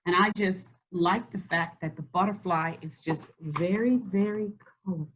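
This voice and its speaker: A woman hosting a children's read-aloud, encouraging and slow, stretching words as she reads. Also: lowish voice, a little hiss or hum, rough recording. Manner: warm, encouraging, slows and stretches words when reading. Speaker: school district host reading to children. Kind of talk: read-aloud lesson and chat.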